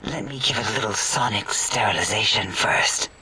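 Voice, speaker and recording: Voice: mid-range voice, moderate pace, pushed and bright, recorded from a distance, slight background noise, low-quality recording. A performer voicing a sultry, doting, clinical robotic scientist in a sci-fi comedy game, coy and whispery.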